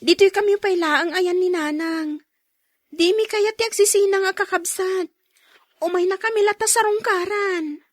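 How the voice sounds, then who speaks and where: A woman's voice: extremely high pitch, normal speed, no room sound, clean studio sound. A woman playing a dutiful eldest daughter in a radio drama, speaking earnestly and pleadingly to her family. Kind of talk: radio drama acting in Ilocano.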